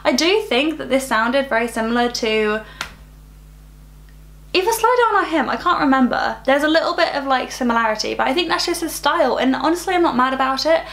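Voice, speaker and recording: A woman's voice: sits high, lively intonation, brisk, a bit bright. A woman in her twenties hosting a reaction channel, chatting casually and upbeat while sick and slightly nasal. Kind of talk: casual music reaction chat. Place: small bright room with plants.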